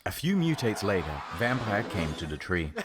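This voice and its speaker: A man's voice: fairly low pitch, very animated, moderate pace. A man reading a fan fiction story aloud in a playful, dramatic narrating style. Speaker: reaction video host.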